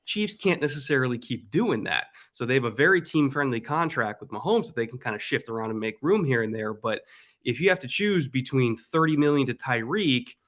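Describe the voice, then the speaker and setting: Male guest analyst, mid-pitched, confident and opinionated, chatty delivery. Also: animated, fairly quick, fairly bright tone. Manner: confident, opinionated, explains at length. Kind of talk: casual sports analysis chat.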